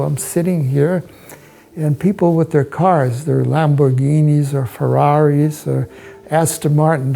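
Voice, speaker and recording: American male, hotel owner telling his story on camera; slightly high pitch, gravelly edge, measured phrasing. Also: mellow. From United States. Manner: measured, halting delivery in short phrases. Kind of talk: promotional interview, personal recollection. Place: indoors, hotel suite.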